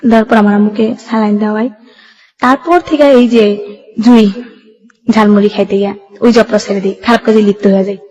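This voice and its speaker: A woman's voice: medium pitch, fairly even intonation, brisk, long pauses, a little mellow, low-quality recording. A young woman with a colloquial Bangladeshi Bengali accent, telling her life story in a plain, run-on way as a radio interview guest.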